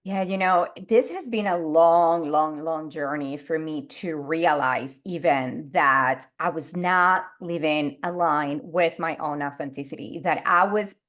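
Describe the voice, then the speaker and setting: A woman in her forties from Venezuela talks openly on a podcast, with a lowish voice. She speaks in a candid, expressive way and acts out her own inner thoughts in quick quoted asides. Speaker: guest, life coach and actor. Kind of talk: casual podcast conversation.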